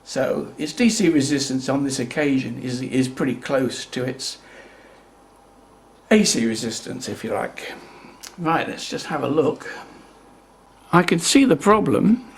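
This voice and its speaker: Man, unhurried pace, creaky voice, methodical explainer, unscripted repair demonstration. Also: medium pitch, animated. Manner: measured, methodical, explaining step by step. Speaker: presenter of a hobby repair video.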